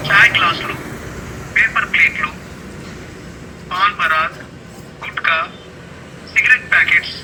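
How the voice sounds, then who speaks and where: A man reading a scripted public service announcement in a formal, instructive manner, played over a street loudspeaker. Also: high-pitched. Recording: noisy street loudspeaker playback, telephone-like.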